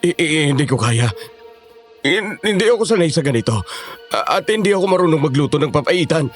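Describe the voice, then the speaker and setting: A man's voice: a little high, lively intonation, a little fast, lightly acted. An actor playing a terrified young man forced to cook, stammering and pleading in a horror audio drama. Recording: music under the voice.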